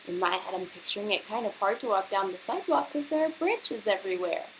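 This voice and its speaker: A woman teacher reading a picture book aloud to children and pausing to prompt them with questions, with hiss on the recording. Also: mid-range voice, lively intonation, poor audio. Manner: instructional, pausing to prompt young listeners.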